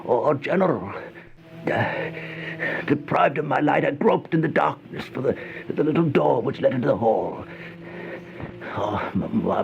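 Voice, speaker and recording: An actor playing a terrified, feverish man in an old-time radio horror monologue, stammering, gasping and melodramatic, with a creaky voice. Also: medium pitch. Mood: hushed dread. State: panting, out of breath. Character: terrified, feverish man trapped with monstrous flies, horror narrator. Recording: dull, noisy old radio recording.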